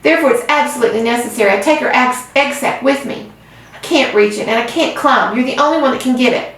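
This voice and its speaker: A woman's voice: on the high side, fast talker, fairly bright tone, voice pushed up, close to an everyday voice. A woman voicing an earnest, anxious young male pig who pleads and cries out, recorded in a very echoey room from a distance. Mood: pleading.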